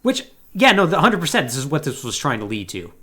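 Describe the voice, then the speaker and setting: An animated podcast host talks casually about games. The pitch moves widely around a middle register, and the delivery often tips into sarcastic asides. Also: fast talker. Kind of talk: casual video game discussion.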